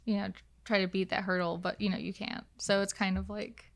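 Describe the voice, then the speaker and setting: A woman's voice: medium pitch, flat delivery, fairly quick, creaky. A young woman talking casually and conversationally as a guest on a podcast. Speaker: podcast guest, game designer.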